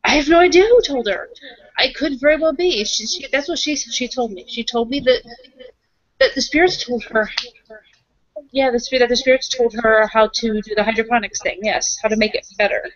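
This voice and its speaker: Lady doing improvised rpg talk, thinks out loud, keeps repeating bits, dull dry recording. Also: fairly high pitch, dry recording. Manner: halting, thinking aloud, repeats phrases. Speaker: tabletop roleplaying player and game runner. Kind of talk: improvised in-character roleplay conversation.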